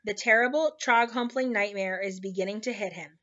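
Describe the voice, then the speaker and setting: Friendly, knowing storybook giant voiced by a woman, folksy broken grammar, cautious manner, bright tone. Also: mid-range voice, fairly quick, muffled recording, natural voice. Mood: hushed, explaining.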